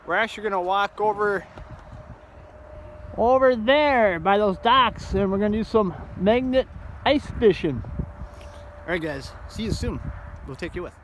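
A man's voice: sits high, expressive, unhurried, pauses often, a bit bright, slight background noise. A man in his forties filming himself outdoors on a frozen lake with a phone, chatting casually and cheerfully to his viewers. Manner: upbeat, chatty, talks to viewers as guys. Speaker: magnet fishing vlogger. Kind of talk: casual vlog chat. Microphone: phone camera held by the speaker.

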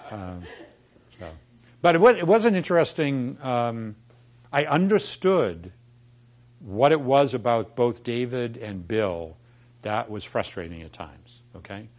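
A man in his sixties from Boston, with a Boston accent, telling wry stories in a relaxed lunchtime talk in a lecture room. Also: medium pitch, a little slow. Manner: wry storyteller, quoting people with punchlines. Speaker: former dean giving a talk. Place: dark lecture room in front of chalkboards.

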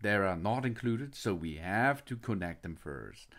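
A man's voice: lowish voice, expressive, a little slow, a bit bright. A man narrating an unboxing and walkthrough of a tool kit, with non-native phrasing and hesitant fillers. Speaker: product reviewer.

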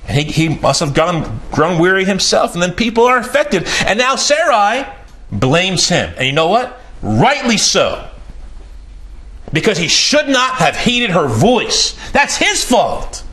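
Emphatic bearded preacher man, lively pitch. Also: unhurried. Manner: emphatic, repeats key phrases, pitch rises and falls sharply. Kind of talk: sermon. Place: small indoor room.

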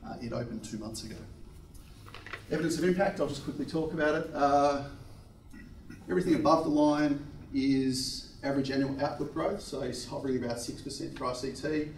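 A man presenting slides in a seminar room with a handheld mic, brisk and upbeat. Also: medium pitch, reverberant, recorded from a distance, a little hiss or hum, poor audio.

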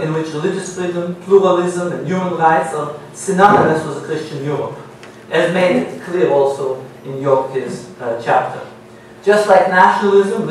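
A man's voice: on the high side, a little hiss or hum. Israeli academic man lecturing in an echoey hall. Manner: measured, lecturing, reads quotations aloud. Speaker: panelist. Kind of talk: academic panel talk. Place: university seminar room, panel table. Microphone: gooseneck table mic. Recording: very echoey room, mic at a distance.

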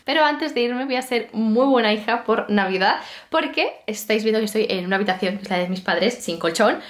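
Woman, twenties, Castilian Spanish accent, slightly high pitch, expressive, casual vlog chat. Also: fairly quick. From Spain. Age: 20s. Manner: chatty, expressive. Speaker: vlogger. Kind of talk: casual chatty vlog to camera. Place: parked car and a bedroom.

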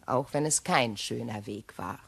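A woman's voice: a bass voice, gravelly, husky, heavily acted. A woman playing a proud, bitter, middle-aged betrayed wife in a crime radio drama, theatrical and sarcastic. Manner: theatrical, sarcastic, deliberate repetition.

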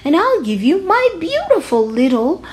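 A polite little storybook boy, acted by a woman. The voice is very high and earnest, with lively swoops as he pleads his case. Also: normal speed. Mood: pleading. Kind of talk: storybook read-aloud for children.

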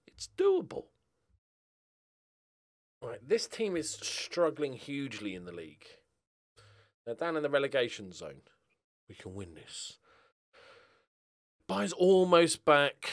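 A man's voice: mid-range voice, a bit bright. A middle-aged British man giving casual, rambling, jokey gameplay commentary, thinking aloud as he swings between muttering and exclaiming. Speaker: gaming streamer playing a football management game.

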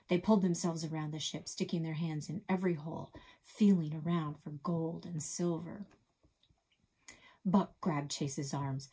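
A woman in her forties reading a children's book aloud expressively from a small office, often dropping to a soft whisper, recorded on a desk webcam. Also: low voice, husky. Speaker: library storyteller. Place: small office with bulletin board.

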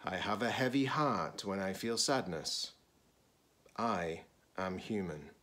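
A middle-aged man, a teacher-librarian, reading a children's picture book aloud deliberately, in short phrases with pauses. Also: low voice, lively intonation, sharp tone.

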